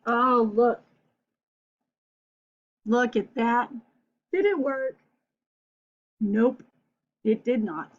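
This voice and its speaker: High-voiced woman reading to children, unhurried. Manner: playful, asks listeners questions. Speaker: storyteller reading a picture book aloud to children. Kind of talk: animated children's read-aloud with asides to the listener. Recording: dull, lo-fi sound.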